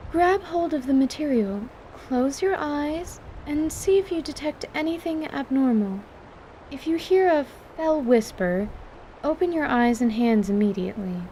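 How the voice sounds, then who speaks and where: A precise young woman healer performed by a woman gives careful, polite instructions in a high voice. She is cool and clinical, in fantasy radio theatre with rain behind her. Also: lively intonation, unhurried, warm tone, a little hiss or hum, rough recording. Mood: careful, instructing.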